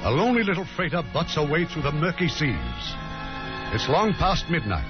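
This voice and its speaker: A man's voice: a little high, huge pitch swings, normal speed. A man giving a formal, scripted introduction as host of a 1950s radio theater broadcast. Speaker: producer and host. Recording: muffled old radio broadcast, faint music.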